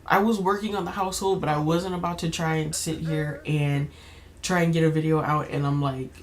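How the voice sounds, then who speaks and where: A young woman with a slightly raspy voice, chatting casually while playing a life-sim game, rambling with many fillers and restarts. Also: sits low, fairly even intonation, brisk. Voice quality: slightly raspy, keeps clearing throat. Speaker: gaming YouTuber. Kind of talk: casual gameplay commentary.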